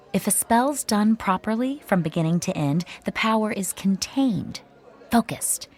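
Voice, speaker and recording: Earnest woman in a radio drama. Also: medium pitch. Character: earnest, superstitious theatre woman explaining magic lore.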